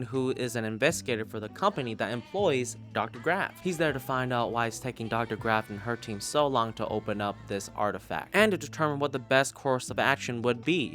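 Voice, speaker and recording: A man giving a casual, sweary video review of audio drama podcasts in an expressive, informal way. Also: mid-range voice. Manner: expressive, foul-mouthed, informal. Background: background music.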